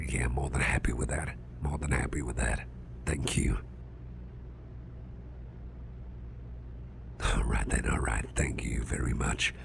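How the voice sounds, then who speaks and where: Casting: male actor as grumpy, fretful boss for ASMR roleplay, rock-bottom growly half-whisper, slow halting mutter, repeats himself. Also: background noise, exaggerated voice. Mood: polite, hushed. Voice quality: gravelly, growly, very deep, breathy half-whisper. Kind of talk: ASMR roleplay, spoken softly to the listener.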